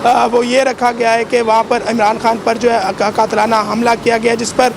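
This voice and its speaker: A man's voice: very high-pitched, level tone, moderate pace, creaky, crowd noise. A man reporting live outdoors with a sore throat, rambling with many fillers. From Pakistan. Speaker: field reporter. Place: outdoors by a street. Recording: noisy outdoor street with traffic.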